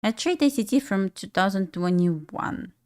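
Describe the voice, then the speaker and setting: A young woman from Belarus speaks English with a Russian accent, her voice sitting at an ordinary middle pitch. She talks casually and a little scattered, musing to herself and chuckling as she watches her trades. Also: expressive, a little slow, a little mellow, smooth. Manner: chatty, laughs often, talks to herself. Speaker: day trader streaming live. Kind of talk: casual live-stream chat.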